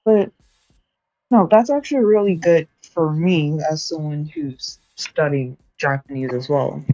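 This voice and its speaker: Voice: mid-range voice, measured pace, dark tone, dry recording, dull audio. A young adult streamer chatting casually while studying, rambling, correcting themselves and laughing often.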